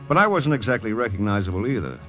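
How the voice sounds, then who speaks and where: A man's voice: lowish voice, big pitch swings, quick pace, fairly bright tone. An actor playing a terse, hard-boiled wartime agent who narrates his own story in an old-time radio drama. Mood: tense narrating. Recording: old radio recording with music behind.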